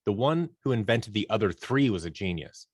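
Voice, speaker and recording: Low-voiced man chatting, dry close sound. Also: animated, fairly quick, breathy edge, dry recording. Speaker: interview guest, audio drama producer leading a creative team. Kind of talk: casual conversational interview answers.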